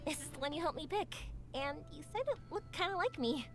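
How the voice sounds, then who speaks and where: A woman's voice: high-pitched, animated, brisk. A woman voicing a cheerful, spirited young fireworks maker in a video game, upbeat and affectionate. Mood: warm. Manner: bright, upbeat, affectionate, giggly. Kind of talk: game voice acting. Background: game music.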